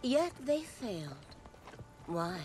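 A woman's voice: on the high side, big pitch swings, takes it slowly. A woman playing a loyal, poised warrior in a video game, composed and assured, with music behind. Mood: fond. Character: loyal, poised female warrior, confidante to royalty. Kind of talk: video game cutscene acting.

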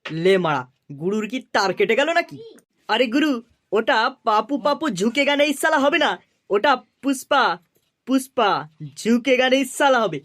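A man's voice: very high-pitched, lively intonation, normal speed, fairly bright tone. A man voicing a cheeky, fawning henchman in a comedy cartoon, teasing and placating by turns. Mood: mocking. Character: cheeky, fawning male sidekick of a gang boss.

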